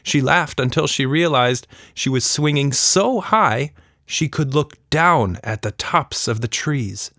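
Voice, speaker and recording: A man's voice: mid-range voice, expressive, slow pace, bright, forceful tone, no echo, studio quality. A man narrating a scripted children's story as the storyteller.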